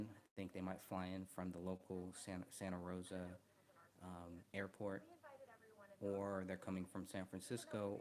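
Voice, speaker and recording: A soft-spoken man chatting casually on a live stream, hesitant, with many ums and restarts, close to the mic. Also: sits low.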